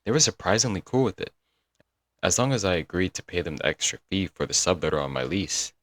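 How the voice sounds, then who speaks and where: A man's voice: deep voice, very animated, fast, fairly bright tone, no room sound. A man playing a doting, apologetic young yandere kidnapper in a comedic roleplay audio drama, coaxing and rambling intimately to the listener. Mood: sheepish, affectionate. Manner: coaxing, rambling, pet names, nervous chuckles.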